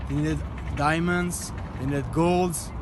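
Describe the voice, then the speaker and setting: High-voiced man guiding a street tour. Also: steady tone, slow pace. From Belgium. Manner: earnest, explanatory, addresses a group. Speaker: tour guide. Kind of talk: guided walking tour talk. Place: outdoors on a city street. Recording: loud outdoor street noise. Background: loud city traffic and street ambience.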